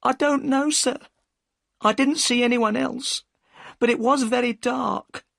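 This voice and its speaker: Guy doing a crying boatman's wife, high and wobbly, dry close sound. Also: steady tone, measured pace, vocal fry, a bit bright, no echo, exaggerated voice. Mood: anxious. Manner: high pleading sobbing voice. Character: tearful worried boatman's wife, woman.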